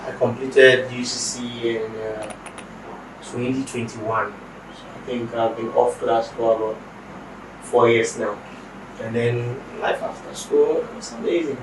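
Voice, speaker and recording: A man's voice: mid-range voice, fairly even intonation, measured pace. A young man, a recent graduate who runs his own business, answering confidently and matter-of-factly. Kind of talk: casual interview answers. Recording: roomy echo, distant mic, faint noise.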